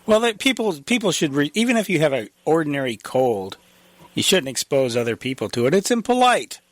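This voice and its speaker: A man's voice: on the high side, big pitch swings, brisk, dry recording. A man hosting a talk radio show, casual and agreeable, thanking callers and plugging the website.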